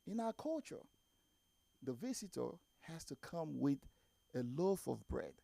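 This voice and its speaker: A man with a Nigerian accent from Nigeria, doing a stand-up set and telling a story at a deliberate pace, with animated quoted voices. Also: a little high, creaky, no room sound. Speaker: stand-up comedian. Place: comedy club stage.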